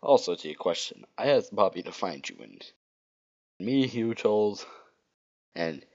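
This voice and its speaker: Cartoon superheroine man acting her, throaty strained croak, deep pitch, slow drawl, sultry. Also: expressive, darkish tone, lo-fi sound. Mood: apologetic, needy. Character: sultry Southern superheroine with a croaky smoker's growl.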